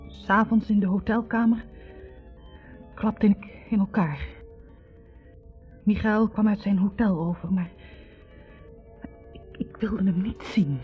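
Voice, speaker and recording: An actress playing a wounded young woman in a 1960s radio drama, bitterly and haltingly confessing a painful past. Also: mid-range voice, fairly even intonation, soft background music, close to an everyday voice. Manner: hesitant, halting, introspective. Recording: dull vintage radio recording.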